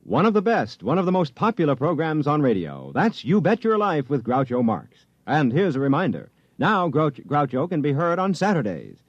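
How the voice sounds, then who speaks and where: A man's voice: on the high side, very animated, moderate pace, hardly any pauses, dry recording. A man reading an upbeat, persuasive 1950s radio promo. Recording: dull dry 1950s radio recording.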